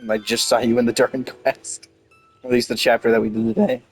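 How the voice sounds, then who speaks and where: A man's voice: mid-range voice, level tone, brisk, slightly rough. A man giving casual playthrough commentary as a game streamer, muttering offhand asides. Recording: game music behind the voice.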